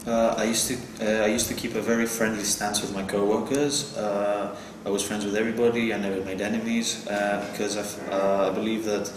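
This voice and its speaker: A man's voice: on the low side, flat delivery, fairly quick, natural voice. A teenage boy playing an earnest job applicant in a school role-play, speaking hesitantly with restarts. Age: teenage student. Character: earnest young male advertising job applicant. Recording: echoey room with clear noise.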